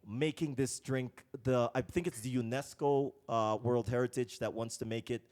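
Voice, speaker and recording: A man's voice: medium pitch, moderate pace, a little mellow. A man from Guyana hosting a casual podcast interview, thinking aloud with many fillers and restarts. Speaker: podcast host. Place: lives in Colombia.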